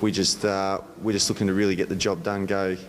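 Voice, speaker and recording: A man's voice: little pitch movement, brisk. An Australian man, a football coach, giving conversational interview answers. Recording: reverberant room with faint rumbling noise.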